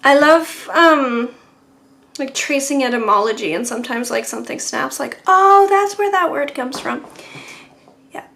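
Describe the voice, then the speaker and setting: Woman, high voice, slow relaxed pace, chatty, rambling, live painting stream. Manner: chatty and rambling, with frequent laughs and "like". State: sore neck. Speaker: watercolour artist hosting a live painting stream. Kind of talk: casual live-stream chat with viewers.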